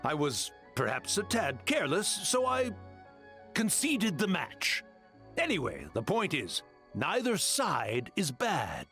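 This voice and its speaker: A man's voice: a little high, wildly swooping pitch, slow, pushed and bright, faint music behind, full character voice. An actor voicing a cocky, cheerful male tanuki yokai elder in a video game, boastful and playful. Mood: blustering, cheerful.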